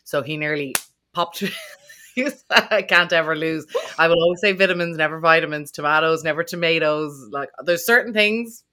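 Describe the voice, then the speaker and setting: A woman hosts a casual podcast conversation, rambling and self-deprecating. Her voice sits low but has a bright, forward edge. She restarts sentences often and laughs at herself. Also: normal speed. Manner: chatty, self-mocking, lots of fillers and restarts. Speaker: podcast host. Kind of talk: casual interview chat.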